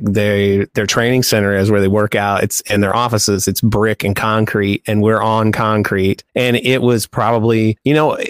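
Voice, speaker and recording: A man's voice: lowish voice, no room sound, clean studio sound. A man co-hosting a podcast, telling anecdotes in a laid-back, colloquial way. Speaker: podcast co-host.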